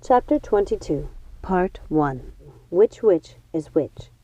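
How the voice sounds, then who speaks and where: Mellow, animated woman narrating a storybook. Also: lowish voice, slow pace, poor audio. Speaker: audiobook narrator.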